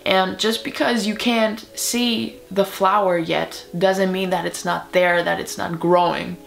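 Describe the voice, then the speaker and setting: A woman's voice: mid-range voice, fairly even intonation, unhurried, gravelly, faint music behind, rough recording. A woman from Germany, German her first language, speaking English in an earnest, encouraging motivational vlog. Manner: earnest, encouraging, with soft laughs. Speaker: video creator.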